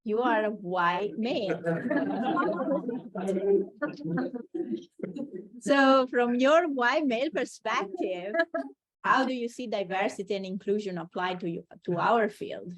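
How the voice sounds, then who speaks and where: A woman's voice: mid-range voice, lively intonation, slow pace, pauses often, low-quality recording. A woman moderating a conference panel, playful and teasing, laughing easily. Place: conference room.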